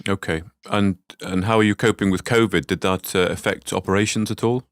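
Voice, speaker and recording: A male interviewer asks short questions in a low voice. He restarts his phrasing now and then. The sound is dry, with no room around it. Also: fairly even intonation, fairly quick, no room sound. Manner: brief questions with small restarts. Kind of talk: business interview.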